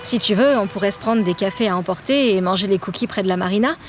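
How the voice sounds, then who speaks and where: An actress playing a sweet, grateful young university student in an audio drama, polite and friendly. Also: female voice, mid-range voice, fast talker, faint music behind, lightly acted.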